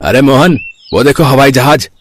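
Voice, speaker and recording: A man's voice: mid-range voice, normal speed, slight background noise, lightly acted. A man voicing an easygoing young village friend in a cartoon story, colloquial and given to exclaiming. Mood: excited.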